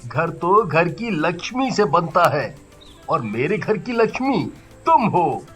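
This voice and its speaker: An actor playing a loyal, contented husband in a cartoon story, with a patient manner. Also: male voice, fairly high pitch, very animated, takes it slowly, a bit bright, full character voice. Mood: patient, reassuring. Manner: patient, reassuring. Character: good-natured, contented, loyal husband, easily frightened. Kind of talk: Hindi cartoon story voice acting. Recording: background music.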